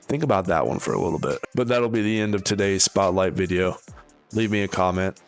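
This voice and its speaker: A man's voice: low voice, fairly quick. A man giving casual, opinionated reaction commentary as a video host, in a sardonic, dismissive manner. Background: background music.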